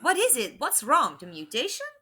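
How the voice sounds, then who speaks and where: A woman's voice: high-pitched, huge pitch swings, moderate pace, pushed and bright, slightly rough, full character voice. A woman playing an earnest time traveller's companion in an audio drama, probing with concern and challenge. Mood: curious.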